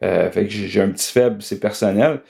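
A man's voice: lowish voice, brisk, vocal fry, darkish tone. A middle-aged man with a Quebec French accent giving casual sports analysis as a guest journalist.

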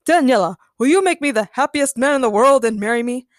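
A woman's voice: high-pitched, lively intonation, fast, no echo, studio quality. Woman as an earnest, eager young male suitor. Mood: romantic. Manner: earnest, romantic.

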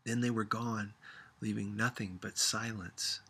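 A man reading a literary story aloud as an audiobook narrator, expressive and dramatic in his delivery. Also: lowish voice, unhurried, vocal fry, husky. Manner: dramatic, acts out dialogue. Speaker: audiobook reader.

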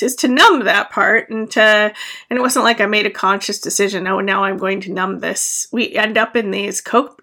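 A middle-aged woman hosts her own podcast in an earnest, reflective solo monologue. Her voice sits a little high, with a bright, sharp edge. She rambles along, full of fillers and restarts. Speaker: podcast host and business coach.

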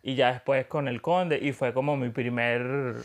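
A man's voice: mid-range voice, steady tone, measured pace. A man with a Venezuelan accent that has a slight Colombian tinge, chatting casually and acting out dialogue as he tells stories. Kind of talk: casual chat.

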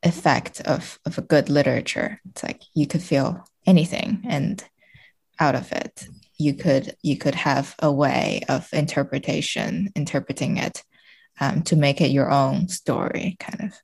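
A woman's voice: low-pitched, measured pace, creaky, a little mellow, slightly rough. A woman speaking as a podcast guest in a casual literary discussion, feeling hesitantly for her words.

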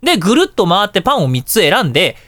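A man hosting a casual radio talk show, telling anecdotes in an animated way and acting out dialogue and reactions. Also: high voice, quick pace, no echo, clean studio sound.

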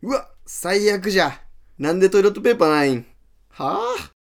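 Radio-drama role for a male actor: pushy, over-familiar young comic, Hiroshima accent, lightish pitch, brash banter. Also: lively intonation, fairly quick. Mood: exasperated. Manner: brash, chummy dialect banter. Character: pushy, shameless, over-friendly male aspiring comedian in toilet stall.

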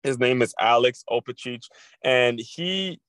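A man's voice: medium pitch, unhurried, dry recording. Maryland guy hosting his podcast, pumped up, repeats stuff, dry close mic. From Maryland, USA. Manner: energetic, repeats phrases for emphasis. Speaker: podcast host. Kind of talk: casual interview.

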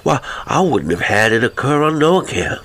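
Man acting out a creaky, folksy frontiersman. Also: mid-range voice, sing-song, normal speed, a bit bright, pitched above the performer's own voice. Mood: apologetic, regretful. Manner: colloquial, slangy, hearty. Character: folksy, jovial American frontiersman, rough but kind-hearted. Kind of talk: audiobook character voice.

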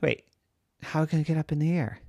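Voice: medium pitch, fast talker, gravelly, darkish tone, dry recording, studio quality. A storyteller voicing a little boy who longs to fly, in an improvised children's story, whining and wistful by turns. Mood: eager. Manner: whiny, wistful.

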